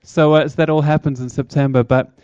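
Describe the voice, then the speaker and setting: A South African man in his thirties speaks to a church congregation with a chatty, engaging lilt. His voice sits a little high for a man. The sound is dry and a touch dull. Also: little pitch movement, a little fast, darkish tone, clean tone, dry recording. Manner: chatty, engaging, asks the crowd questions. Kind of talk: upbeat church talk to congregation.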